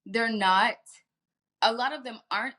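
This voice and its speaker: A woman's voice: fairly high pitch, measured pace, sharp tone. A woman from Jamaica, a singer talking candidly in a casual interview, laughing easily and restarting her sentences. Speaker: guest singer.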